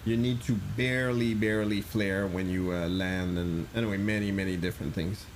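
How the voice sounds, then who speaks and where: A man's voice: low voice, steady tone, fairly quick, a little hiss or hum. A man streaming a flight simulator, chatting casually, thinking aloud and answering chat with many fillers.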